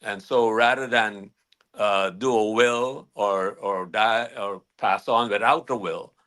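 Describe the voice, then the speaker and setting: A man from Guyana who is a guest attorney, explaining legal matters in measured, halting answers with many restarts. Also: lowish voice, bright, forceful tone.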